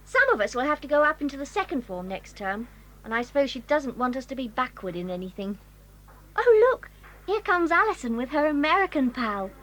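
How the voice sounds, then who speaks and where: High, lively English schoolgirl. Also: poor audio. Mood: emphatic. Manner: brisk, gossipy, exclamatory. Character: friendly, chatty English boarding-school girl, eager to gossip. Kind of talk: children's audio drama acting.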